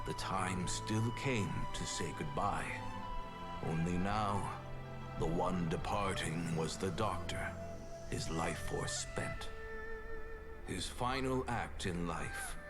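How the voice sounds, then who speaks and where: An actor playing a gentle, thoughtful healer who tells legends in a video game, measured, solemn and formal. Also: male voice, low voice. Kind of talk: dramatic storytelling in a video game.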